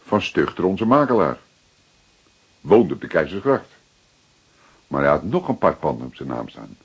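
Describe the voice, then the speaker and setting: An actor playing an older, paternal police detective with a Dutch accent, speaking in a deliberate, emphatic way with a husky voice. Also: male voice, low-pitched, very animated, highs cut off, exaggerated voice. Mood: reflective, explanatory. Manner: deliberate, emphatic, repeating words for effect. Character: seasoned male police detective, paternal, methodical, secretive. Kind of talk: dramatic detective dialogue acting.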